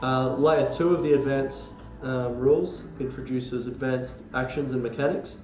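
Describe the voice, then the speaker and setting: An Australian man with a cold and a stuffy nose, explaining board game rules in a halting, casual way full of ums and restarts. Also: medium pitch, steady tone, a little mellow, echoey room, faint music behind, low-quality recording. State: congested from a cold. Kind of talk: casual tabletop rules walkthrough.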